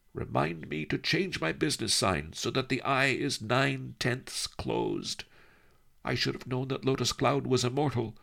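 A man voicing a calm, wily old sage who speaks in a dry, reasoning tone. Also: mid-range voice, vocal fry, pitched above the performer's own voice, exaggerated voice.

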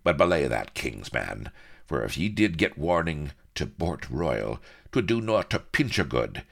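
Grim, slow-talking pirate captain played by a man. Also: very deep voice, sing-song, gravelly, pitched above the performer's own voice, exaggerated voice. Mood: thoughtful, cynical. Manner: slow, rustic sea-dog speech. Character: grim, shrewd, rough-spoken male pirate captain.

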